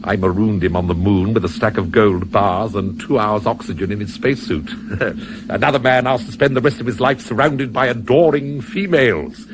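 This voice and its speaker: A man's voice: lowish voice, normal speed, noisy background, natural voice. A British man playing an urbane, mocking devil in a 1970s radio play, pompous and wry. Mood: sly, musing. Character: urbane, mocking male devil, theatrical and impatient.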